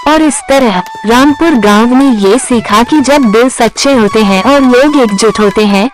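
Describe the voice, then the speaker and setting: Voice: mid-range voice, measured pace, poor audio. Storyteller narrating over background music. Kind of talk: scripted moral story narration.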